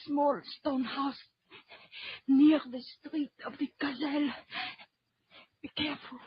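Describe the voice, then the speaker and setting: An actress playing an intense, dramatic dancer and villain's accomplice in an old-time radio serial, with a husky, breathy voice. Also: female voice, high-pitched, fairly even intonation, slow pace. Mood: wounded, bitter. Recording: muffled, dry old radio recording.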